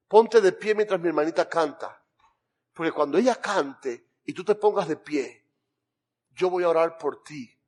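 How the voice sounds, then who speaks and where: A man with a Cuban accent, a pastor making an earnest altar call and praying, pleading in rhythmic lists. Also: fairly high pitch. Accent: Cuban Spanish. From Cuba. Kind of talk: sermon altar call and prayer.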